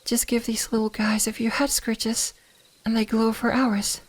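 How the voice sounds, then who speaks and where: A woman playing a gentle, curious kitsune fox spirit in an ASMR roleplay, speaking softly, soothingly and a little hesitantly. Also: fairly high pitch, flat delivery, measured pace, rough recording. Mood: gentle, slightly shy. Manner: soft-spoken, soothing, hesitant, at times whispering.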